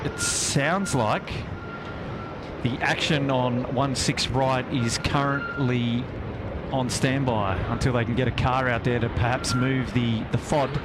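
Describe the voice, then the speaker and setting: A man's voice: mid-range voice, fairly even intonation, takes it slowly, very noisy. An Australian man giving casual live commentary as the host of a plane-spotting stream. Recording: loud outdoor airfield ambience behind voice.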